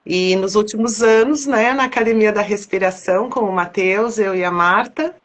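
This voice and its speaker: A woman's voice: mid-range voice, moderate pace. A woman with a Brazilian Portuguese accent, a psychotherapist guest chatting casually on a live stream. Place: online video call.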